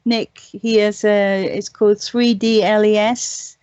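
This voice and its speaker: A woman's voice: medium pitch, little pitch movement, slow pace, a little mellow, no room sound. A woman speaking as an enthusiastic guest in a casual online panel discussion. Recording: dull, lo-fi online voice call.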